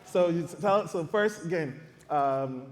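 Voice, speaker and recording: A man's voice: on the high side, unhurried, roomy sound, long reverb tail. A man hosting a live panel and hyping up each guest as he introduces them. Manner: hype, energetic introductions. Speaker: podcast host and panel moderator.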